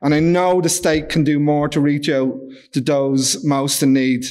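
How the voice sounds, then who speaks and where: A loud-voiced man in his fifties delivers a prepared address from a podium microphone in a large ornate room. His pitch sits slightly high, and he reads in a measured, formal way with the odd filler and restart. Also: level tone. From Ireland. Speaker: charity executive giving a speech. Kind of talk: reading a prepared speech at a podium.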